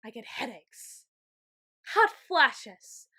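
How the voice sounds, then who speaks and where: A woman acts out a supreme lord of shadows in an ASMR roleplay. The voice is high and bright, with a sharp edge. Every phrase is pompous and flowery, as if from a weakened but grandiose tyrant delivering a melodramatic monologue. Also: big pitch swings, very slow, breathy edge. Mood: grave, afflicted. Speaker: ASMR roleplay audio performer.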